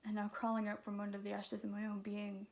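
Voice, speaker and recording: A woman performing her own slam poem in an earnest, measured, emotive recitation. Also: mid-range voice, monotone, a little mellow. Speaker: slam poet.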